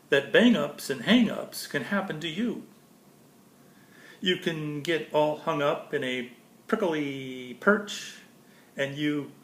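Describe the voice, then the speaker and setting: A man reads a children's story aloud in an echoey room. His voice sits a little high and swings widely in pitch. He pauses expressively between phrases and talks directly to his listeners. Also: fairly bright tone. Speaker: storybook reader. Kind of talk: animated read-aloud of a children's picture book with chatty asides.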